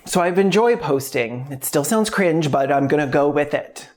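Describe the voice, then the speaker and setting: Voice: mid-range voice, expressive, measured pace. A video essay host talking in a candid, self-deprecating, wryly jokey way, conversational but scripted. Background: background music.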